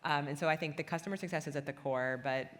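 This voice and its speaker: A woman's voice: sits low, fast talker. A middle-aged woman chatting casually as an interviewee on a panel. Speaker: interviewee, company HR executive. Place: conference stage in a large hall. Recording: reverberant hall, stage event.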